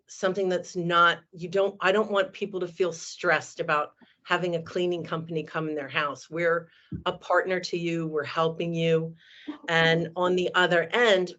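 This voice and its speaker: A woman's voice: on the low side, a bit bright. A woman who owns a cleaning company, talking in a rambling way at a roundtable, full of "you know". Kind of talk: roundtable remarks. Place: on a video call. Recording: muffled video call audio.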